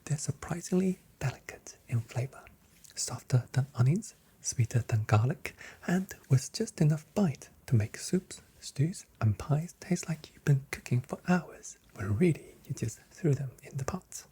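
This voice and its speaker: A soft-spoken, whispery ASMR creator role-playing a grocery shop assistant with gentle, chatty sales patter. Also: mid-range voice, expressive, unhurried. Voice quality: breathy, whispery.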